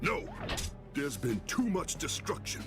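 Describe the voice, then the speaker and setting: Tormented game character: slow, earnest, anguished and emphatic, over a music bed. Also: male voice, a little high, very animated. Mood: anguished, resolute. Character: tormented, earnest man trapped in a driving contraption. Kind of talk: game cutscene voice acting.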